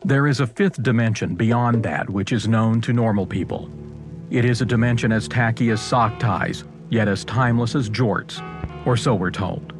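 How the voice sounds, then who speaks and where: A man's voice: fairly low pitch, moderate pace, over music, lightly acted. Man putting on a solemn, mock-eerie mystery show narrator. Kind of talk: parody scripted narration.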